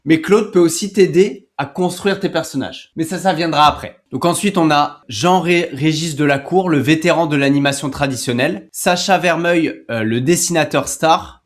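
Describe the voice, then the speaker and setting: A man hosting a tutorial in a casual, explanatory way, talking informally and directly to the viewer. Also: on the high side. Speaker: tutorial host.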